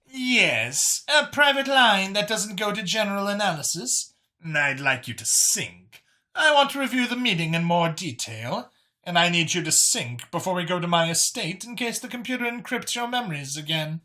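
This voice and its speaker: A man voicing a confident, formal female alien official in a science fiction audiobook, speaking in a deliberate, emphatic way. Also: high voice, expressive, sharp tone, voice pushed up. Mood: brisk, confiding. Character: imperious female alien official, confident, formal, free-thinking council chair.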